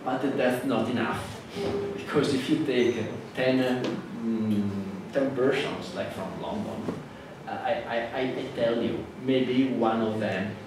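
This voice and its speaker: A man with an Italian accent, a native Italian speaker, giving a classroom lecture in a halting way with many fillers and restarts. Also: on the low side, fairly even intonation. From Italy. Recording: echoey hall from far off with noise.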